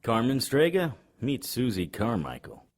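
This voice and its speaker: A man playing a gruff, world-weary supernatural investigator in an audio drama, speaking in a wry, terse and insistent way. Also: medium pitch, big pitch swings, measured pace. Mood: wary, exasperated. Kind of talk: audio drama acting and first-person narration.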